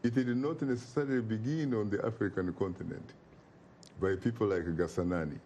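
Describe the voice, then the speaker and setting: Low, mellow man, oratorical. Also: fairly quick, a little hiss or hum. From Uganda. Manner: emphatic and oratorical, repeats phrases for effect. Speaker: interview guest. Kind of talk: impassioned interview talk.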